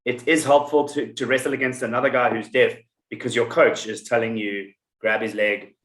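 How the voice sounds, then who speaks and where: A man's voice: medium pitch, fairly even intonation, moderate pace, fairly bright tone, roomy sound, rough recording. A man hosting a podcast in casual chat, rambling and telling stories with many restarts. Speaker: podcast host.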